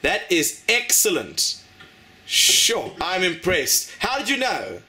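A man with a South African accent who teaches a class over a video call and warmly praises the students' answers. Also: high-pitched, big pitch swings, slow. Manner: encouraging, praising. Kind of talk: classroom chat.

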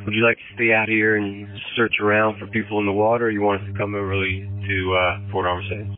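A man's voice: fairly low pitch, monotone, a little fast, no echo. A male fire boat responder reporting over an emergency two-way radio. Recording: thin narrowband two-way radio.

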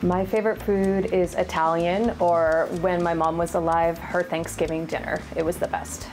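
A woman in her forties from Rhode Island, a county government affairs manager answering quick interview questions in an earnest, plain-spoken way. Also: on the low side, level tone, darkish tone. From Rhode Island, USA. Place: office, indoors. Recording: background music underneath.

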